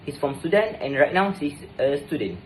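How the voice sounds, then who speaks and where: A man's voice: on the high side, very animated, normal speed, a little mellow, a little hiss or hum, low-quality recording. A young man presenting a student assignment, reading formally with a few stumbles and fillers. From Malaysia. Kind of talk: scripted presentation.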